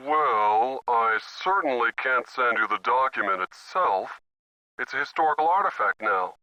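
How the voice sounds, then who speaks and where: A man's voice: medium pitch, expressive, moderate pace, pushed and bright, no room sound. A man playing a formal, pompous library archivist in a video game, speaking precisely through a telephone filter. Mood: relenting. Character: stuffy, formal male library archivist, officious but decent. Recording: band-limited telephone call sound.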